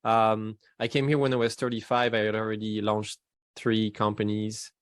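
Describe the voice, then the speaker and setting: Man, forties, French accent, podcast guest, halting restarts, dry close sound. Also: lowish voice, little pitch movement, smooth, dry recording. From France. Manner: halting, many restarts, laughs between thoughts. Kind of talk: casual interview chat.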